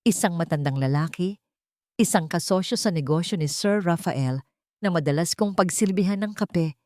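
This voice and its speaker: A woman narrating a scripted first-person drama in a measured, theatrical way. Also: on the low side, darkish tone, no room sound, clean studio sound.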